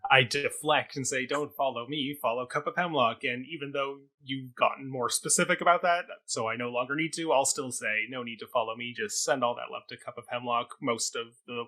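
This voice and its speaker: A man's voice: mid-range voice, fairly quick, few pauses, sharp tone. A man co-hosting a theatre review show, talking casually and wordily, qualifying his points as he goes. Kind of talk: casual, discursive review talk.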